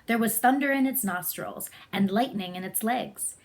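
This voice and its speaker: A woman reads a story aloud as its narrator. Her voice sits at a medium pitch, in a storybook read-aloud style. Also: moderate pace, gravelly. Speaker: storybook reader.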